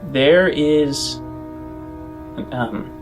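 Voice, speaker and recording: A man working as game master, narrating a tabletop game off the cuff in a loose, halting, conversational way. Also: fairly high pitch. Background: music.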